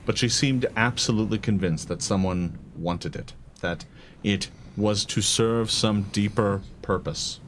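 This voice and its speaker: A man voicing a stern, worried woman in a tabletop game, speaking formally and gravely. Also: lowish voice, a little hiss or hum, close to an everyday voice. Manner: formal, grave, measured wording. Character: stern, worried woman entrusted with a dangerous deck.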